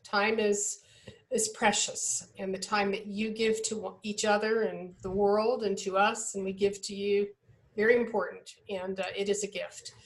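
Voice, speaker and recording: Woman admissions advisor, emphatic and upbeat, mid-pitched, heard on a video call. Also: little pitch movement, a little slow, poor audio. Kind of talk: webinar Q&A answers.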